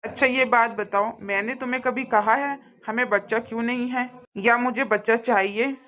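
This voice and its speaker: A young cartoon husband, voiced by a woman, with a fairly high pitch. He speaks earnestly, reassuring and firm in a domestic melodrama. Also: fairly even intonation, moderate pace, a bit bright, close to an everyday voice. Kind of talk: cartoon family drama acting.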